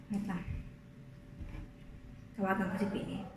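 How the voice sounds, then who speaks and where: A Filipino woman chatting casually in an excitable, giggly way during prank calls. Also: mid-range voice, a little slow, creaky. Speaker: prank vlogger. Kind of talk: casual prank-call chat. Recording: echoey room with faint background noise.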